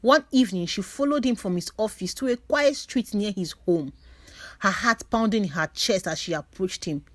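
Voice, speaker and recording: A woman's voice: medium pitch, moderate pace, no echo. A woman reading a scripted dramatic story as a storyteller.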